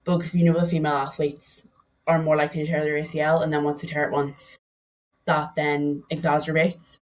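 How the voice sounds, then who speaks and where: A woman's voice: sits low, level tone, a little fast. A young woman with a Donegal Irish accent, a podcast guest telling her story in a chatty way, often saying "like". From Ireland. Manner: chatty, peppers speech with "like".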